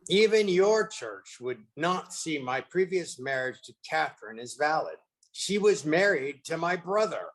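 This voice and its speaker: A man's voice: a little high, animated, a little slow. A man playing a proud, possessive, threatening king in an online play reading. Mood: indignant, threatening.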